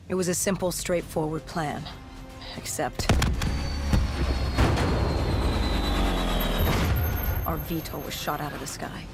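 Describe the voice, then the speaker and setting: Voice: medium pitch, close to an everyday voice. An actor playing a war-weary soldier in a video game cutscene, recounting battle memories in a grim, clipped, dramatic way. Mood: tense, determined.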